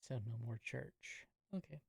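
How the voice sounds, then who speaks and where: A man's voice: medium pitch, unhurried, gravelly, slightly rough, no echo. A man playing in a tabletop game, talking casually as he narrates his character and speaks for them.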